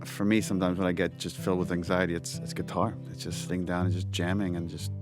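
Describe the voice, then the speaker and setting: Low-voiced man commenting reflectively over music. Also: level tone, fast. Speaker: game developer. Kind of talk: casual commentary over game cutscenes.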